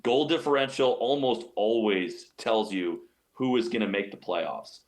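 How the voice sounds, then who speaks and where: A man's voice: lowish voice, a little slow. A man giving sports analysis as an interview guest, explaining his points emphatically and often repeating phrases. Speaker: radio play-by-play announcer as guest.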